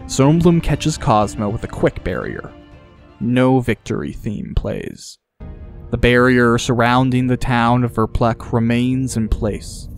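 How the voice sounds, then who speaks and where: A man's voice: mid-range voice, very animated, takes it slowly, vocal fry, soft background music. A man reading scripted fantasy narration as an audiobook narrator.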